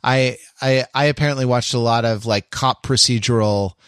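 A man chatting casually as a podcast co-host, halting and often repeating his words as he goes. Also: mid-range voice, steady tone, a bit bright, clear, resonant tone, no room sound, clean studio sound. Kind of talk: casual chat.

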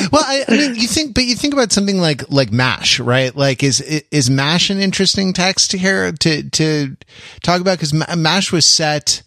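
A man's voice: a little high, lively intonation, a little slow, sharp tone, no room sound, clean studio sound. A middle-aged American man chatting analytically on a podcast, digressing and often searching for his words. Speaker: podcast panelist. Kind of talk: casual analytical chat.

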